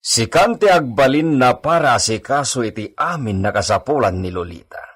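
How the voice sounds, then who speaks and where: A man's voice: mid-range voice, lively intonation, moderate pace, a bit bright, slightly rough. A man with an Ilocano accent playing a stern, curt, formal master of a villa in a radio drama. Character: stern, imperious master of a villa, Señor Esteban.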